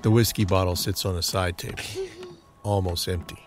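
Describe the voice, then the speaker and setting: A man's voice: low-pitched, fairly even intonation, brisk. A man reading scripted scene narration for an audio drama. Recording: clearly audible background noise.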